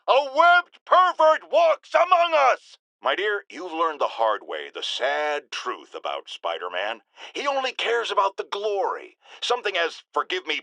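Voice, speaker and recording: A man's voice: fairly high pitch, pushed and bright, dry recording, exaggerated voice, poor audio. A man playing a blustering, irascible middle-aged talk-radio host in a video game, ranting with theatrical indignation and sarcasm. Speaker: call-in radio show host.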